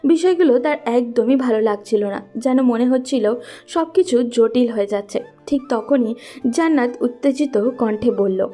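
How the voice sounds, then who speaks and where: A woman's voice: fairly high pitch, normal speed, warm tone. A woman narrating a scripted romantic story in a storyteller's manner. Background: faint background music.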